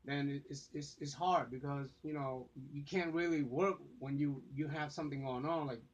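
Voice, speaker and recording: Vietnamese-native man, casual vlogger, halting and rambly, dull phone-mic sound. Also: a little high, fairly even intonation. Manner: rambling, many fillers and restarts. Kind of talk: casual talk to camera. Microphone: phone camera.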